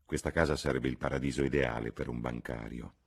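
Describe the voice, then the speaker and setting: A mocking young gentleman played by a man in an audiobook. He speaks with sly condescension in a low voice with a husky edge. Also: fairly even intonation, fairly quick, exaggerated voice. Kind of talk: audiobook character voice.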